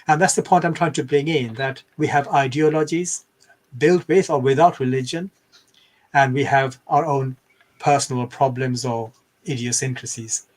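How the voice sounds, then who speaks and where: A man in his fifties from Bangladesh, speaking as a podcast guest. His voice sits at an ordinary middle pitch, and he talks in a reflective, rambling way, drifting into digressions as he recounts his past. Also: steady tone, normal speed. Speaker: guest scholar. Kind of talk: reflective interview storytelling.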